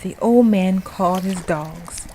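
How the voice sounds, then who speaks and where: Low, unhurried woman storyteller. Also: a little hiss or hum. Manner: suspenseful, expressive, dropping to breathy hush. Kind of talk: dramatic scripted ghost story narration. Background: music.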